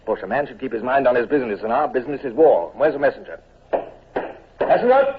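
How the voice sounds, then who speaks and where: A man playing a brisk naval commodore. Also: medium pitch, very animated, close to an everyday voice. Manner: clipped, authoritative. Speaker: narrator and lead. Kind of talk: 1940s radio acting. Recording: tinny old radio broadcast with faint hiss.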